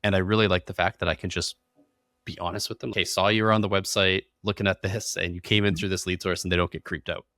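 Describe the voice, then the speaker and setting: Man, podcast host, forceful bright tone, dry close sound, informal business chat. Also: low-pitched, flat delivery, fast, dry recording. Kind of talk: casual business interview chat.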